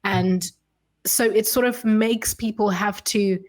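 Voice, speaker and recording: A woman's voice: medium pitch, steady tone, slow, a little mellow. A woman from Zimbabwe whose first language is Shona, speaking as a guest in an earnest, thoughtful interview. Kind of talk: conversational interview.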